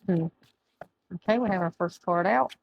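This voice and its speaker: A middle-aged woman, chatting through a tarot reading and reading aloud from a card book, her voice going hoarse as she often loses her words. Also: fairly low pitch, normal speed, no room sound, highs cut off. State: losing her voice. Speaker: tarot reader. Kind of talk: casual tarot reading to camera. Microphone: camera mic, speaking to camera.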